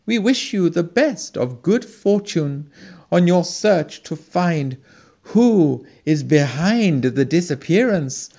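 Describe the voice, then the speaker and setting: A gracious forest king from a storybook, voiced by the narrator, speaking slowly and with formal solemnity. The pitch moves in wide, lively arcs as he gives his blessing. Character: gracious animal king, male. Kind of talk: storybook reading.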